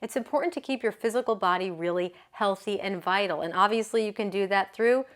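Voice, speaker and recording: A woman's voice: medium pitch, a little fast. Chatty, earnest woman yoga coach. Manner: chatty and earnest, often asking "right?". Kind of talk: motivational talk to camera.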